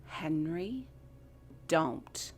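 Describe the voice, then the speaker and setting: A woman's voice: deep voice, lively intonation, extremely slow, soft background music, exaggerated voice. A woman voicing a sly, sardonic and pushy magical sidekick to a magician in an audio drama. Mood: stern. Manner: teasing, sardonic.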